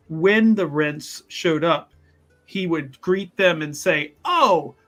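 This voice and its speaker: A man playing a tabletop roleplaying game, explaining his character's doings in a halting, rambling way full of fillers and restarts. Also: on the high side, a bit bright. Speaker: player. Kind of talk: actual-play tabletop roleplay.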